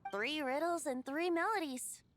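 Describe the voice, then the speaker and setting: A woman's voice: sits high, normal speed, faint music behind, lightly acted. A woman playing a carefree, playful wind god in the guise of a boyish young bard, with a theatrical storytelling lilt, for a video game. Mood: fond, reminiscing. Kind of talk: video game character acting.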